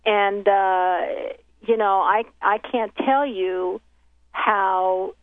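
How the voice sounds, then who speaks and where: A woman's voice: mid-range voice, fairly even intonation, drawn-out words, no echo. A woman from Haiti whose first language is Haitian Creole, a journalist guest on the phone who talks loosely with many restarts and fillers. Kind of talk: casual interview talk. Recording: tinny phone line.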